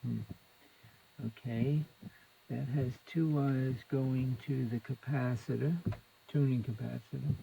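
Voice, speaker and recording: A man with a gravelly, fry-laden voice talks through a hands-on repair. He takes his time, muttering his thoughts in short fragments as they come. A little background room noise sits behind his mid-pitched voice. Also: steady tone, slow pace, mellow, rough recording. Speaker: electronics repair hobbyist, presenter. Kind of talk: unscripted hands-on repair commentary.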